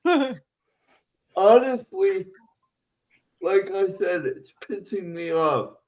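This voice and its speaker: A man in his twenties, a podcast guest, with a fairly high voice for a man. He talks slowly, making blunt confessions in halting pieces. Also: wildly swooping pitch, a little mellow, highs cut off. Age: 20s.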